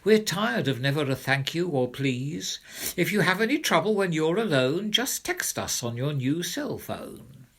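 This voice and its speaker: A man's voice: on the high side, very animated, a little slow, a bit bright, exaggerated voice. A man playing the fed-up, obliging parents of a spoiled child in a storybook, weary and clipped.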